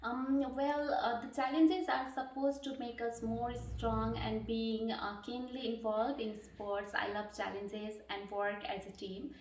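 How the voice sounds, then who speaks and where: A woman from Nepal answers interview questions with earnest care, often pausing on a filler or restarting a phrase. Her voice sits a little high. She sounds set back from the mic, in a room with a noticeable echo. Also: little pitch movement, darkish tone, slight background noise. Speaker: job candidate. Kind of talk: mock job interview answers. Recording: echoey room, distant mic.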